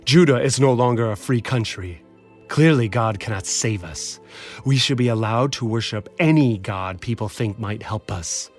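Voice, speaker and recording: An actor playing a doubting, self-justifying king in a children's Bible drama, measured and dismissive. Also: medium pitch, soft background music, lightly acted.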